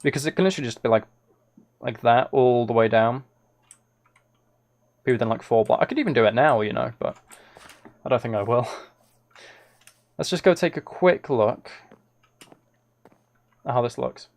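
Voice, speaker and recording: A man's voice: mid-range voice, normal speed, pauses often. A man chatting casually on a gaming livestream, rambling and thinking aloud as he plays. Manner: rambling, musing to himself while playing. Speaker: live streamer.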